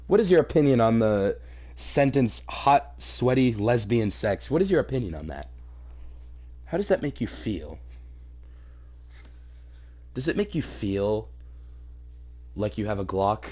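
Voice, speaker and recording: Animated man reading aloud with mocking commentary. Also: lowish voice, moderate pace, pauses often. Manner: mocking, sweary, theatrically exasperated. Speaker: host reading a fan fiction aloud and reacting. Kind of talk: comedic reaction reading, narration broken by commentary.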